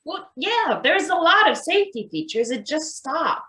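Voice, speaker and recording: A woman's voice: high voice, big pitch swings, moderate pace, sharp tone, full character voice. A woman acting a worried, questioning maintenance worker in a read-through of a satirical stage play. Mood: matter-of-fact. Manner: hesitant, questioning. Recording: echoey room sound.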